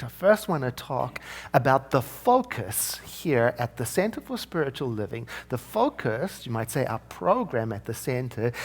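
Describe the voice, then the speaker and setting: A man from South Africa with a South African accent, giving a sermon as minister in a conversational, storytelling way with frequent asides. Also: medium pitch.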